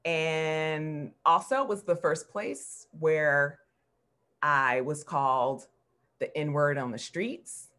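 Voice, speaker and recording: A woman with an American accent and a low voice gives an informal slide talk over a video call. She speaks reflectively, pausing and repeating words for emphasis as she goes. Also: a bit bright. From Newark, New Jersey, United States. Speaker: art history professor, guest speaker. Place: indoor room at home, on a video call. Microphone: video call computer microphone.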